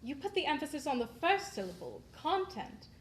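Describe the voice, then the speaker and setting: An actress playing a poised young actress, tactful and polite as she gently corrects her scene partner. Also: sits high, very animated, fairly quick, slight background noise. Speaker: actress in a dramatized rehearsal. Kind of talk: period drama acting.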